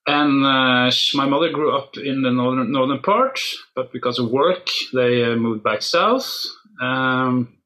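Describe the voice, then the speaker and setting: A middle-aged man with a Norwegian accent, an interview guest telling his story slowly, with many pauses and fillers. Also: mid-range voice, pushed and bright, low-quality recording. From Norway. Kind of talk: casual interview.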